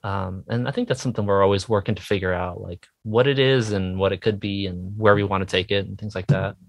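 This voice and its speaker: Low-voiced man chatting, hesitant and self-deprecating. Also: steady tone, a little fast, vocal fry, no room sound. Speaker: musician guest, indie rock songwriter. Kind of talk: casual interview chat.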